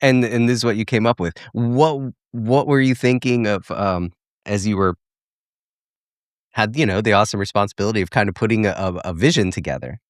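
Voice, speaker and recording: A man's voice: lowish voice, a little fast, dry recording, studio quality. A man hosting a podcast, asking questions in a casual, conversational interview style. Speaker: podcast host.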